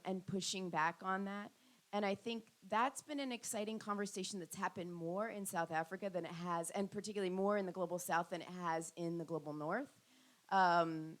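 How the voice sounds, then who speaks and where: A middle-aged woman academic answering audience questions on a panel, thoughtful and full of ums and restarts. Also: fairly low pitch.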